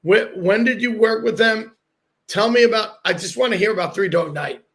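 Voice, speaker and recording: A man's voice: high voice, normal speed, fairly bright tone. A man co-hosting a casual chat, asking questions like an enthusiastic fan.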